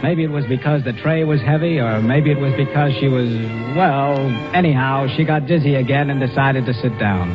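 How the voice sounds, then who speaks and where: An actor playing a breezy, wisecracking Hollywood screenwriter who narrates in 1940s radio comedy style. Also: male voice, mid-range voice, moderate pace, few pauses, music in the background, natural voice. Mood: wry, storytelling. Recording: dull old radio recording.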